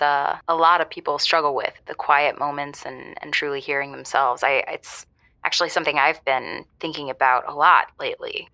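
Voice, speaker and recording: A woman playing a sincere, soul-searching radio show caller who speaks deliberately, pausing and restarting in improvised audio comedy. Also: sits low, creaky, bright, forceful tone, no echo, heavily acted. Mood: tender, probing. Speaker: returning caller.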